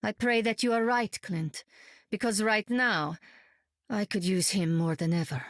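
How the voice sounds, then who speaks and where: An actress playing an earnest, somber superheroine in a video game. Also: female voice, medium pitch, measured pace, no echo, studio quality, lightly acted. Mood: wistful.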